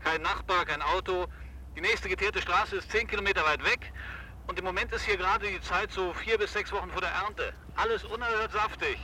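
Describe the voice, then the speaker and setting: A man's voice: sits high, fairly quick, pushed and bright, a little hiss or hum, poor audio. An actor playing a dreamy, carefree young man heard over a telephone in a naturalistic radio drama. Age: 20s. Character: carefree, dreamy young boyfriend calling from hiding.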